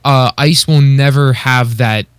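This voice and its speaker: Male co-host, average pitch, conversational and a bit halting, very dry sound. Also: steady tone, clean tone, no room sound. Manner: hesitant, searching for words, often says like. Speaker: podcast co-host. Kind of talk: casual chat.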